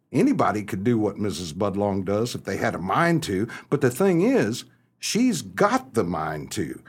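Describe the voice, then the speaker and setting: A man playing an exasperated, wry small-town husband in a stagey comedic radio play, animated and sardonic. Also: mid-range voice, husky. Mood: emphatic, scornful.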